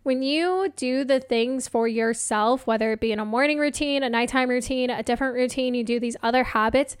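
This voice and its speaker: A woman hosting a solo motivational podcast as a coach, chatting casually and encouragingly, her voice slightly hoarse. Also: a little high, brisk, no room sound, clean studio sound. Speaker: podcast host and life coach.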